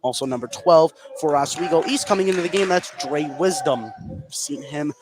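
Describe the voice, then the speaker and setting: A man doing live radio play-by-play commentary of a basketball game, excitable on big plays. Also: a little high, normal speed, a crowd in the background. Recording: clearly noisy live sports broadcast.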